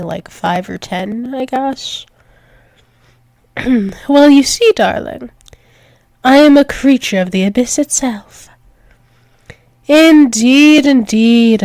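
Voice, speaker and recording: A woman's voice: a little high, a little mellow, no room sound. A woman voicing a doting, dominant dragon-wolf demoness lover in an intimate ASMR roleplay, teasing with pet names and dropping into whispers. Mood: playful, coy.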